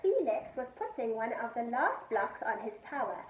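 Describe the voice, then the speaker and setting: A woman's voice: fairly high pitch, big pitch swings, normal speed. A woman teacher talking to young children in simple, patient explanations, on a roomy, distant recording. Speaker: teacher reading a story to children. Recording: roomy, distant, dull and noisy.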